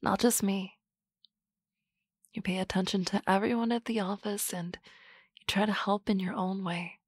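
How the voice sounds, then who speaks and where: A woman playing a teasing, confident office boss in a soft, close, whispered ASMR roleplay. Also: mid-range voice, fairly even intonation, moderate pace, breathy edge, dry recording.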